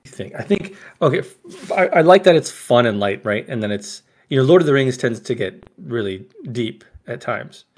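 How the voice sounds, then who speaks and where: A man's voice: medium pitch, expressive, normal speed, hardly any pauses. Chatty man co-hosting a film podcast. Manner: chatty, often seeks agreement with tag questions. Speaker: podcast co-host and author. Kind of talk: casual film discussion.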